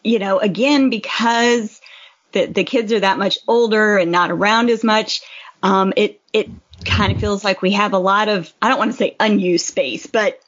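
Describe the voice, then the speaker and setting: A woman's voice: medium pitch, moderate pace, a bit bright, muffled recording. A middle-aged woman co-hosting a podcast in casual, conversational chat. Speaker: podcast co-host.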